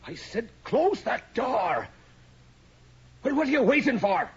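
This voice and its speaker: An actor playing a stern male anatomy professor in a radio drama, sharp and commanding. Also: extremely high pitch, very animated, takes it slowly, full character voice. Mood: panicked. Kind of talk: 1980s radio drama acting. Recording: dull old radio broadcast, crowd noise.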